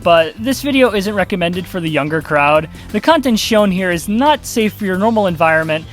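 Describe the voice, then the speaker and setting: Male YouTube commentator, high-ish pitch, sarcastic, rambling, profane, light music bed underneath. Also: fairly bright tone. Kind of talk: casual video commentary.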